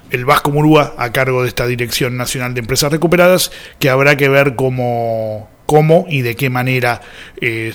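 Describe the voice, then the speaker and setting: A man's voice: medium pitch, fairly bright tone. A man with an Argentine accent hosting a radio show, giving reflective, conversational commentary. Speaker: radio host.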